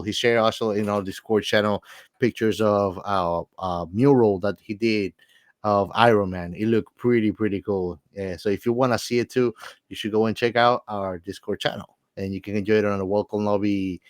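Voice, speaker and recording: A man's voice: on the low side, dry recording. A man co-hosting a podcast in casual chat, enthusiastic and repeating words for emphasis. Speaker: podcast co-host.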